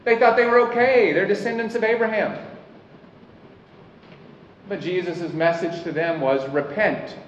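Pastor delivering a sermon, earnest and deliberate; dull, roomy recording with faint ambience. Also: male voice, high-pitched, slight background noise. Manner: earnest preaching, slows to stress key lines. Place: church hall. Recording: echoey hall, mic at a distance, dull sound.

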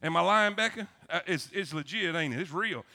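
Male preacher, Southern American, high-pitched and forceful, folksy sermon delivery with repeated phrases. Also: fairly quick. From South Florida, United States. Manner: folksy, animated, repeats phrases, calls for amens. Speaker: pastor. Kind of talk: sermon, folksy storytelling to a congregation.

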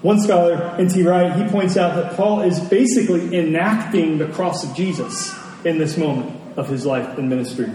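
A man preaching in a conversational sermon style, earnest and rhetorical, pausing deliberately for weight. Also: on the high side, dark tone. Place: large reverberant room, church service. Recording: very echoey large hall, distant, noisy.

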